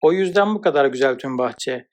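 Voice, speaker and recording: A man's voice: mid-range voice, lively intonation, a little fast, natural voice. A man playing a timid, apologetic woodcutter husband in a cartoon fairy tale, hesitant and polite. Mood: worried.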